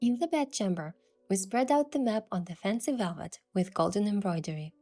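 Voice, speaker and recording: A woman voicing a curious young adventurer heroine, telling the story in the first person with earnest, expressive delivery. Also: mid-range voice, brisk. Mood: narrating, gentle. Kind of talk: scripted first-person narration and dialogue. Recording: music behind the voice.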